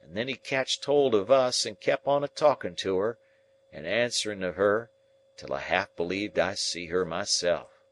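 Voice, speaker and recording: A man voicing a rough old male convict, gruff and plainspoken, in a rustic dialect. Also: on the low side, moderate pace, slight background noise, close to an everyday voice. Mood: grim storytelling.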